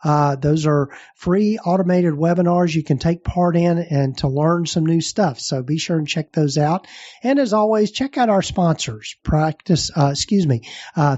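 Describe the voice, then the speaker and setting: A man with a Southern US accent hosting a podcast and recapping the episode in a relaxed way, with many fillers and restarts. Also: on the high side, muffled recording. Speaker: podcast host.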